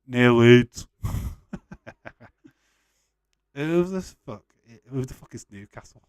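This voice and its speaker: A man in his forties with a Yorkshire accent chats casually as a livestream host. His voice is mid-pitched, and his delivery is blunt, chummy and informal. The sound is dry, with very little room echo. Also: expressive, unhurried, a little mellow, clear, resonant tone. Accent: Yorkshire English. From West Yorkshire, England. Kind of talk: casual watch-along chat, reading out viewer comments.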